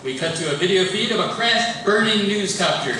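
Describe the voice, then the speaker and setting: A man's voice: high voice, animated, brisk, crowd noise. A man reading scripted stage directions as narrator of a live comedy table read. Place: indoor live event before an audience. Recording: echoey hall, distant mic, clear noise.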